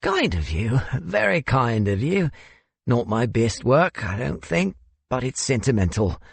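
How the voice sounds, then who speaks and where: A man voicing a courteous, wistful older gentleman with a South African accent and a theatrical, hesitant manner in an audiobook. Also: mid-range voice, very animated, a little slow, creaky, breathy edge, dry recording, voice pushed up, exaggerated voice. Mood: modest, sentimental. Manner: theatrical, hesitant, old-fashioned politeness.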